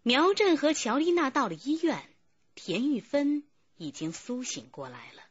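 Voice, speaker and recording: A woman's voice: high-pitched, sing-song, measured pace, slightly rough. A woman narrator giving dramatic scripted narration for a crime radio play. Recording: slightly dull recording with music bed. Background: background music.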